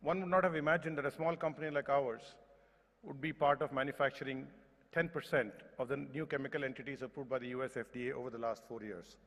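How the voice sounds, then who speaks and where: A man's voice: mid-range voice, steady tone, quick pace, fairly bright tone. A man in his forties with an Indian accent, giving a formal conference speech at a podium microphone in a large hall. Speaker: company executive speaker. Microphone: podium gooseneck microphone. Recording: reverberant hall public address.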